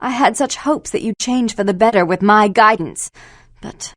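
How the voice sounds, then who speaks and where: A woman playing a prim, controlling, self-righteous vampire in a video game, speaking in a stern, haughty and measured manner. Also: mid-range voice, no echo, clean studio sound, natural voice. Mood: cold, regretful. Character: prim, controlling, cold, imperious elder sister, self-righteous vampire baron. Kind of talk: dramatic video game voice acting.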